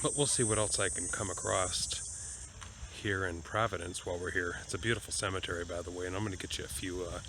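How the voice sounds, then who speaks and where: A man talking casually to camera as a travel vlogger, informal and halting, thinking aloud as he goes. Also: deep voice, fairly even intonation, noisy background. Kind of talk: casual vlog talk to camera. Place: outdoors in a cemetery for much of it.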